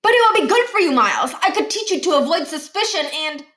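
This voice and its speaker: Woman voicing a high-voiced know-it-all schoolboy. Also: big pitch swings, quick pace, pushed and bright, heavily acted. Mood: placating. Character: earnest, know-it-all schoolboy master prankster.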